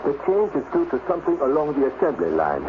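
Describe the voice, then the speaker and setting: A man's voice: fairly high pitch, huge pitch swings, fast. A man playing a wry, ambitious mathematician in a 1950s radio drama. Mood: businesslike. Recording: tinny, noisy 1950s radio recording.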